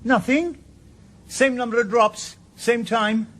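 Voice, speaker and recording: A man's voice: extremely high pitch, fairly even intonation, takes it slowly, fairly bright tone, full character voice. A middle-aged man with a Welsh accent, playing a stubborn, sardonic retired detective with a dry, probing manner in a radio drama.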